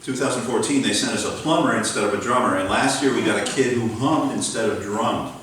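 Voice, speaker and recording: A man's voice: medium pitch, brisk, fairly bright tone, a little hiss or hum. A man acting as co-host and sound effects man, trading dry, scripted jokes with the host in front of a live audience. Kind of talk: scripted comic banter with the host. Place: large echoey room with a live audience. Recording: echoey hall from far off.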